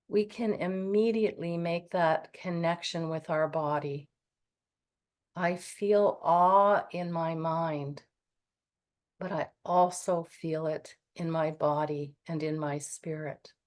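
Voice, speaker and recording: A woman leads a guided meditation in a soothing, gentle voice that sits on the low side. She speaks very slowly, letting long pauses settle between her phrases.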